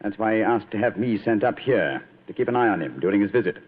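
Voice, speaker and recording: An actor playing a shrewd, commanding English detective in a radio drama, with a British accent and a theatrical, authoritative manner. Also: male voice, lowish voice, lively intonation, quick pace, slightly rough. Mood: probing, stern. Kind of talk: old-time radio drama acting. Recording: tinny, band-limited vintage radio recording.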